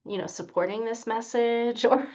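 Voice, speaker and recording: Woman co-host, kinda high voice, playful and teasing, lots of quick chime-ins. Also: steady tone, a little mellow, lo-fi sound. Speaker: library webinar co-host. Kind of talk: casual banter during a demo.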